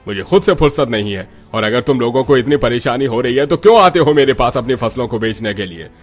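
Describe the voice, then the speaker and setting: A man's voice: medium pitch, expressive, fast, faint music behind, close to an everyday voice. Man playing a callous greedy village landlord. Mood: dismissive.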